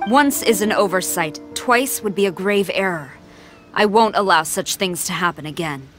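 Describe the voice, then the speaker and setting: Woman acting a female military commander, formal, composed, authoritative, mid-pitched, video game dialogue over faint music. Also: normal speed, fairly bright tone, natural voice. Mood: firm. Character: stern, formal female samurai general, dutiful and composed.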